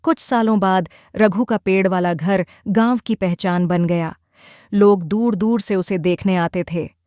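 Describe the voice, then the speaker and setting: A woman's voice: medium pitch, smooth, no room sound, clean studio sound. Woman narrating a cartoon story. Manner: steady, measured storytelling.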